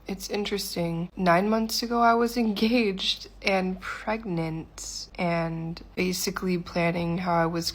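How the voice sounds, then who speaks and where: Low, reflective woman vlogging. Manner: slow, reflective, candid. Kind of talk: reflective vlog confession.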